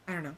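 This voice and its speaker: A woman streamer chatting casually in a soft, hesitant voice with a gravelly vocal fry, talking close to her microphone. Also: a bass voice. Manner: soft, hesitant, self-reflective, many restarts. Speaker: VTuber streamer. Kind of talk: casual chat while livestreaming a game. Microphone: close desk mic.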